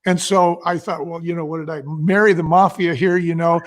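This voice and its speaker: An older man chatting casually as an interview guest, telling anecdotes in an animated way and acting out what people said. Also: a little high, brisk. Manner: animated storyteller, acting out quotes. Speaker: guest, business co-founder. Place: indoors, live video call.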